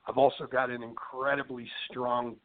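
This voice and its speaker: A man's voice: medium pitch, little pitch movement, moderate pace. A man from Philadelphia with a Philly accent, a rock singer chatting casually in an interview, rambling with lots of fillers and restarts. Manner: rambling, many fillers and restarts, says "you know what I mean". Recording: tinny phone line.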